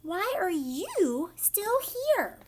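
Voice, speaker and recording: A kindly schoolteacher from a children's book, as a woman reader plays her. Her high voice rises and falls animatedly, emphatic and attentive to the little ones. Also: very animated, extremely slow, background noise, heavily acted. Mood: calling, surprised.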